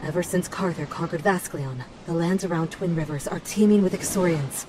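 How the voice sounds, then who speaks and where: A woman's voice: fairly low pitch, brisk, background noise, natural voice. An actress playing a serious, skeptical noblewoman and battle advisor in a medieval fantasy game, firm and matter-of-fact. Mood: serious, alert.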